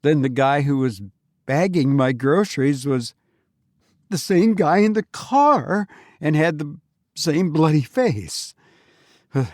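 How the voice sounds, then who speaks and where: A man hosting a podcast and telling stories informally in the first person, with a halting, hesitant delivery. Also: fairly high pitch, no echo, clean studio sound. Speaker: podcast host and narrator.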